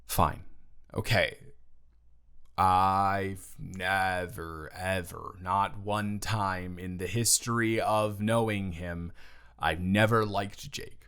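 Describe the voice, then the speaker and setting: A man's voice: low voice, fairly even intonation, slow, fairly bright tone, clean tone, full character voice. A man playing a protective, smitten young friend in an intimate improvised audio roleplay, sincere and flustered, stammering and restarting his phrases. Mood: reluctant, disapproving.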